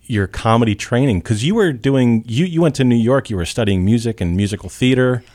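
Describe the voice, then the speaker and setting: A man's voice: medium pitch, lively intonation, brisk, darkish tone. A man hosting a casual interview, asking friendly questions that start over a few times. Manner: friendly, asks rambling questions with restarts. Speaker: podcast host.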